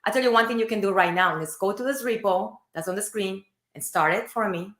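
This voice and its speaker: A woman's voice: medium pitch, fast talker, fairly bright tone. A woman hosting a livestream, upbeat and enthusiastic, talking straight to viewers. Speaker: livestream host. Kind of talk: casual livestream interview. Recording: echoey room.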